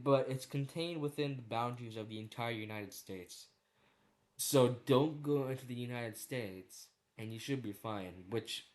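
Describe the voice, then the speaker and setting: A man giving casual, rambling opinion commentary as a video creator, speaking informally with slang and some swearing. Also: fairly low pitch. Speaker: video creator ranking horror series.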